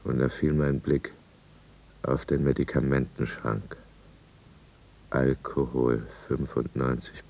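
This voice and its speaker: Very deep, gravelly broken man. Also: mellow, heavily acted, low-quality recording. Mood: numb despair. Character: broken, bitter middle-aged alcoholic businessman confined in asylum. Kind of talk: 1950s German radio drama, first-person narration. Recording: 1950s radio studio recording.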